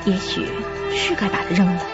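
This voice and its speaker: A woman playing a sensible, caring young flatmate who narrates and acts in a radio drama, with a husky, breathy voice. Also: on the low side, brisk, lo-fi sound, over music, natural voice. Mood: uneasy narration.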